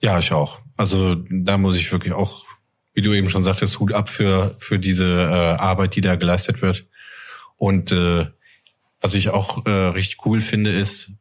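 A man chatting casually on a fan podcast, in slangy, halting, colloquial phrases. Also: low voice, little pitch movement.

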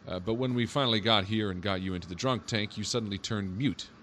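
A man's voice: fairly low pitch, fast talker, fairly bright tone, slight background noise, lightly acted. An actor playing a patient, bemused male police officer in a naturalistic audio drama, questioning in a conversational, hesitant way. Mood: bemused recounting.

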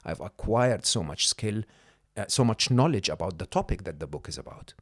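Man, non-native English speaker, middle-aged, low voice, dry, emphatic, frequent check-ins. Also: lively intonation, moderate pace, no room sound. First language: not English. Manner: emphatic, checks in with "okay?" and "hmm?". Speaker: podcast guest. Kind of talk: conversational coaching talk.

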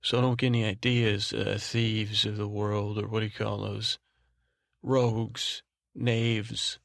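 Male sleep podcast host, mid-pitched with heavy vocal fry, very dry sound. Halting, repetitive, meandering bedtime rambling. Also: level tone, dry recording. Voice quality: soothing, soft-spoken drone. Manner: meandering, halting, repeats and trails off. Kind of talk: rambling bedtime monologue meant to lull.

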